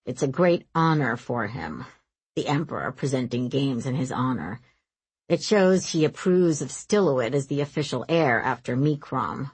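A woman voicing an imperious, controlling young nobleman in a measured, formal manner for an audiobook. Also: very deep voice, lively intonation, husky, dry recording, voice pushed down, full character voice. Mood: confiding, tense.

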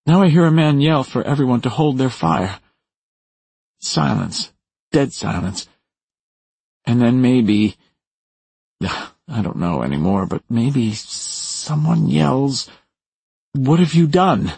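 A man voicing a haunted, defensive woman in an audiobook, urgent and halting, with a raised husky voice. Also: mid-range voice, darkish tone, dry recording, voice pushed up, full character voice. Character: haunted, defensive woman recounting a traumatic night. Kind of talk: audiobook character dialogue.

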